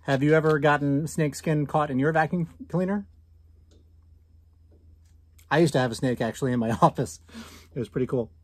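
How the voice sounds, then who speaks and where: A man in his thirties teaches in a conversational way, putting questions to listeners as he comments on a book. His voice is a little high for a man. The recording is dry and indoors. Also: normal speed, pauses often, no echo. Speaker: teacher reading aloud. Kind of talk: read-aloud lesson with casual commentary. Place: small indoor room at home.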